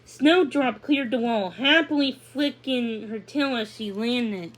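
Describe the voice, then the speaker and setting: A woman narrating a children's book aloud, reading haltingly with stumbles and repeated words. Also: fairly high pitch, poor audio.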